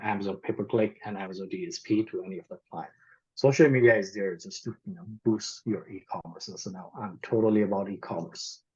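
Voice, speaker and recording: A man's voice: fairly low pitch, breathy edge. A young man from Nepal with a Nepali accent, talking candidly and casually as an interview guest, with lots of "like" and "you know". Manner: relaxed, candid, uses "like" and "you know" often. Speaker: guest, e-commerce marketer. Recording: dull lo-fi audio.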